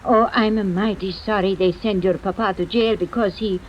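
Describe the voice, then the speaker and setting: A woman's voice: mid-range voice, fairly even intonation, moderate pace, lightly acted. A woman playing a poor, worried Italian immigrant mother, speaking plaintive broken English in an old radio drama. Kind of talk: 1940s radio acting. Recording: dull, noisy old radio recording.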